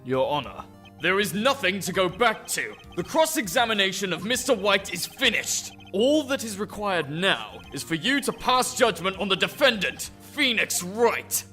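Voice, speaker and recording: High, sharp, haughty prosecutor. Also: male voice, sing-song, faint music behind, exaggerated voice. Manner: formal, pompous, deliberate. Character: haughty, smug male rival prosecutor. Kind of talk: video game fan dub acting.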